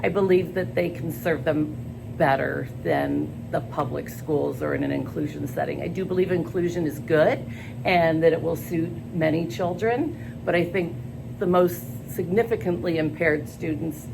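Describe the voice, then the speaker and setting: An older woman answering job interview questions in an earnest, halting way, with many pauses and fillers. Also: low voice, gravelly. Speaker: school staff job candidate. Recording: noisy background.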